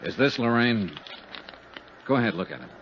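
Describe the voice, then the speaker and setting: A man's voice: mid-range voice, sing-song, normal speed, a little hiss or hum, natural voice. Guy playing a hard-boiled 50s radio investigator, clipped and skeptical, old dull broadcast sound. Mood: probing. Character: hard-boiled male insurance investigator, dry, skeptical, unflappable. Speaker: lead actor and narrator. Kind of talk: 1950s radio detective drama acting. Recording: muffled 1950s radio broadcast recording.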